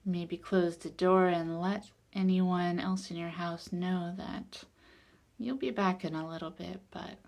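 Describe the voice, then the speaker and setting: A woman leading a guided meditation in a calm, soothing, unhurried way, her soft voice turning breathy at the ends of phrases. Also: on the low side.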